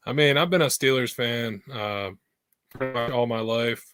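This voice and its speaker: A man's voice: mid-range voice, moderate pace, fairly bright tone, smooth, no echo. A man in his twenties from Pennsylvania, a guest on a video call, chatting in a laid-back way full of fillers. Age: 20s. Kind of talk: casual chat.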